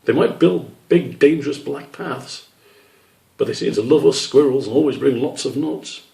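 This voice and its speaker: A man's voice: mid-range voice, moderate pace, gravelly, dark tone, pitched above the performer's own voice, natural voice. A man voicing a curious, friendly young male squirrel who wonders at things in a children's storybook. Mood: admiring. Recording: roomy echo, distant microphone.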